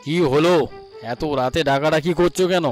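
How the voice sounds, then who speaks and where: A man voicing an excitable, cautious village husband in a fairy-tale cartoon, earnest and exclamatory. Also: a little high, normal speed, faint music behind, close to an everyday voice. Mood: dismayed, reproachful.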